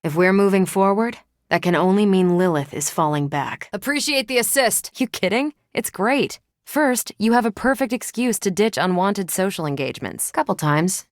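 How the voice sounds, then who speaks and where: A woman's voice: medium pitch, expressive, normal speed, dry recording, studio quality, natural voice. A woman voicing a confident, quippy adult female superhero soldier in a video game, with a casual, dryly joking, assured manner. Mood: urgent, rallying. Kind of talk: video game character dialogue.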